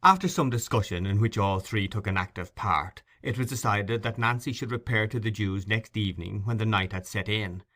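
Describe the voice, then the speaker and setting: A man narrating classic fiction as a scripted audiobook, in a measured, articulate storytelling manner. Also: lowish voice, fairly bright tone.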